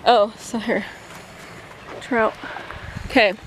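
High-voiced young woman, very noisy outdoor recording. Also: fairly even intonation, slow pace. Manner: informal, blunt, thinking aloud. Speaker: vlogger. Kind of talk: casual outdoor vlog chat to camera and children. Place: outdoors on an open hillside trail. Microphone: handheld camera at arm's length. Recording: loud wind and outdoor handling noise.

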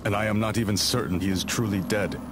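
An actor playing a grim masked ice ninja warrior in a video game cutscene, speaking in a grave, measured, cold way. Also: male voice, lowish voice, music in the background, lightly acted.

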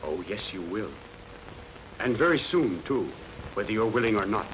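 A man's voice: lowish voice, lively intonation, moderate pace, fairly bright tone. Guy playing a domineering old-movie king, theatrical and menacing, very up and down, noisy soundtrack. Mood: menacing, commanding. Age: middle-aged. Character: scheming, domineering ancient king. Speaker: actor in a fan-dubbed film. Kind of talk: theatrical sword-and-sandal film dubbing.

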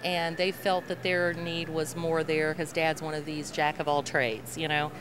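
A middle-aged woman gospel singer with a low voice, talking in an upbeat, chatty way into a handheld mic at a convention booth. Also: level tone, measured pace, crowd noise. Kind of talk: interview answers. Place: convention hall interview booth. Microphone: handheld mic held by host. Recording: noisy convention hall.

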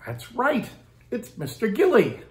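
A man's voice: extremely high pitch, huge pitch swings, unhurried. A man in his fifties, a children's author, putting a trivia question to the camera. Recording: echoey room.

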